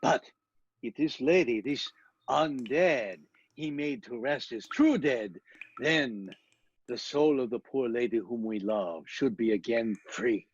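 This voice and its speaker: An actor playing a commanding older professor and vampire hunter in a radio drama, speaking in solemn, oratorical, stilted foreign-sounding phrases. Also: male voice, mid-range voice, slow, slightly rough. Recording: dull lo-fi audio, music behind.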